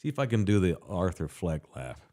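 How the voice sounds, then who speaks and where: Lively podcast guy, low voice. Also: brisk, heavy background noise. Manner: animated, laughs often, jokey. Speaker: podcast co-host. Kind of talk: casual podcast chat. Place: sports bar studio.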